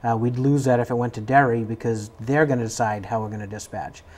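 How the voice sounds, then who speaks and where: An American man speaks as an interview guest from a fire service. His voice sits at a medium pitch, and he explains procedures in unscripted, conversational speech. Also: steady tone, fairly quick, darkish tone. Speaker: fire department staff member, interview guest.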